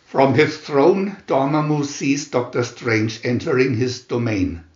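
A man reading a dramatic storybook narration in a theatrical, measured manner. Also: mid-range voice, darkish tone, roomy sound, dull audio. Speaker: narrator reading a picture booklet.

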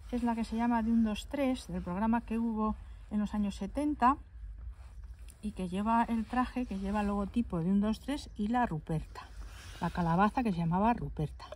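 A woman's voice: mid-range voice, a little mellow. A woman chats her way through a doll collection, stopping now and then to hunt for the right word. She is recorded outdoors in a garden. A faint hum sits behind her voice. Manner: chatty, affectionate, pauses to search for words. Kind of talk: casual show-and-tell. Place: outdoors on a stone table in a garden.

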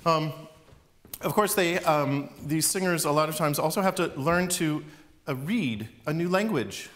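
A middle-aged man, an opera professor, giving a relaxed, chatty public lecture from a concert hall stage, with fillers and small laughs. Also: fairly high pitch, a little slow.

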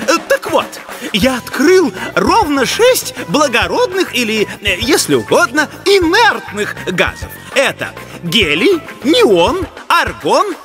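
An earnest cartoon moose scientist, pedantic and a bit unsure of himself. The voice sits high and leaps widely in pitch, with prominent music behind it. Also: male voice, bright, forceful tone, exaggerated voice. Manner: pedantic, hesitant, lecturing. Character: earnest, insecure male moose scientist, middle-aged. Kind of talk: animated cartoon character acting.

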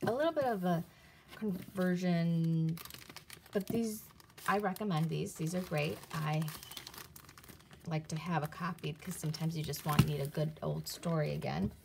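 A woman casually showing her CDs and reading their back covers aloud, halting often with fillers and restarts, recorded on a phone camera above a table indoors. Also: sits low, gravelly, noisy background. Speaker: video creator reviewing CDs. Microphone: phone camera filming her hands on a table from above.

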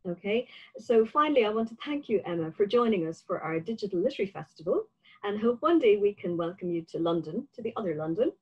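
A woman's voice: medium pitch, very animated, fast, slightly rough. An older woman hosting an interview conversationally, feeling her way hesitantly through her sentences, recorded on a video call. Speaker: interviewer, festival host. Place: indoor room with bookshelves. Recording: slightly dull video-call audio.

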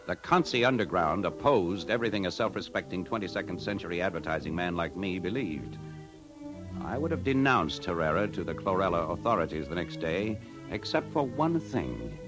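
A wry, hard-boiled advertising executive in a 1950s American radio play. His American voice is low, and he narrates and acts the lead with a cynical edge. Loud music runs underneath. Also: sing-song, fairly quick, rough recording. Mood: wry, tense narration. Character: self-respecting, cynical advertising executive on the run.

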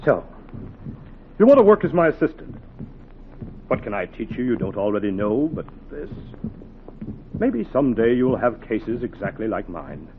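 Intense surgeon on noisy old radio. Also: male voice, medium pitch, sing-song. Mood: fervent. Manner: dramatic, emphatic, deliberate phrasing. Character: intense, dedicated male pioneering heart surgeon teaching his assistant. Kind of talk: 1940s radio drama acting. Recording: noisy, narrow-band 1940s radio recording.

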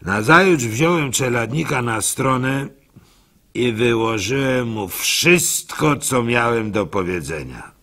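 A man performing a first-person literary monologue for radio as narrator, in an expressive, folksy, conversational manner. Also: medium pitch, slow. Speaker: narrator and storyteller.

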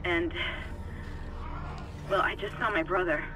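A man's voice: high-pitched, fairly even intonation, slow pace, rough recording. A man voicing a worried space crew technician over radio, tense and earnest, in a game parody. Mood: uneasy.

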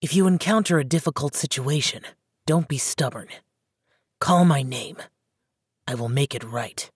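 Game role: aloof young male immortal, low-pitched and husky, terse and solemn. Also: animated, normal speed, no room sound, studio quality, exaggerated voice. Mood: solemn, protective. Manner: terse, reserved, solemn, formal phrasing. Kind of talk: English video game character dialogue.

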